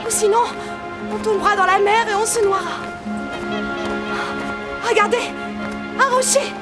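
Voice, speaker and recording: Very high-pitched young princess. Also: female voice, steady tone, a little slow, music in the background. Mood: alarmed, urgent. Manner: wide-eyed, exclaiming, earnest. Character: gentle young princess, earnest, devoted little sister. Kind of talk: French animated fairy tale dub.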